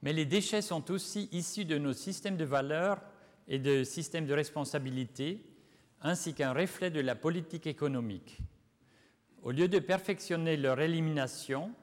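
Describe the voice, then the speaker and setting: A man from Switzerland, speaking a language that is not his native one, gives a measured, deliberate conference lecture with occasional slips. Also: on the high side. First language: not French. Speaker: guest lecturer. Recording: long reverb of a lecture hall.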